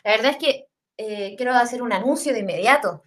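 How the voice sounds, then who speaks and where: A woman's voice: fairly high pitch, fairly quick, fairly bright tone. Slangy Chilean woman telling stories. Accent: Chilean Spanish. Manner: rambling, slangy and swearing. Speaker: storyteller. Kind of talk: casual comic storytelling.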